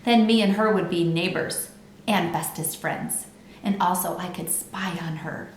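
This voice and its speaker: A woman's voice: lowish voice, lightly acted. A woman reading a children's book as a brash, bragging little girl who talks in childlike, emphatic bad grammar. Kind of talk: children's book read-aloud. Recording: roomy echo with background noise.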